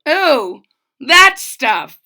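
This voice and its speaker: A woman's voice: high-pitched, sing-song, extremely slow, sharp tone, voice pushed up, heavily acted. A woman voicing a vain male captain of the guards in a children's storybook, jolly, boastful and scoffing. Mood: amused. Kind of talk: children's storybook read-aloud.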